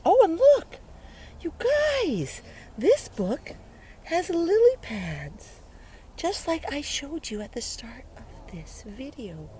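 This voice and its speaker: A woman reads a picture book to young children with lively, swooping intonation and an unhurried pace. She sounds playful and full of wonder, often turning to the listener with simple questions. She is outdoors, with faint ambient noise behind her. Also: high voice. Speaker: early learning educator reading a picture book aloud. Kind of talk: interactive read-aloud for young children. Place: outdoors beside a lake.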